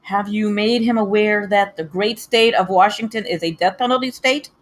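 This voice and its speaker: A woman's voice: medium pitch, steady tone, moderate pace, close to an everyday voice. A woman playing a stern, impatient judge, formal and dry, at a screenplay table read. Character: stern, impatient courtroom judge keeping order.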